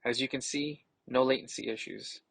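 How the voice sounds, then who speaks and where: A man narrating a scripted product demo as a tech reviewer, casual and explanatory, with a gravelly, slightly husky voice. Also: mid-range voice, steady tone, normal speed, a bit bright, dry recording.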